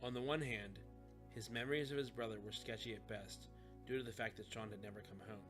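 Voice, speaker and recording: A man's voice: on the low side, fairly even intonation, fairly quick, music in the background. A man reading a scripted story as an audiobook narrator.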